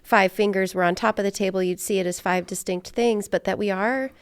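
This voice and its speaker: Musing woman, mid-pitched. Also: steady tone, a little fast, smooth. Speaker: podcast host. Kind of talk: casual conversational interviewing.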